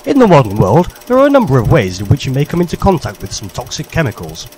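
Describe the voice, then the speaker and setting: A man delivers instructions like a vintage public information film. His pitch moves in an animated way, and his manner is formal and jolly in an old-fashioned style. A light mechanical rattle runs behind his voice. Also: quick pace, a little hiss or hum. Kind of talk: parody vintage public information newsreel narration.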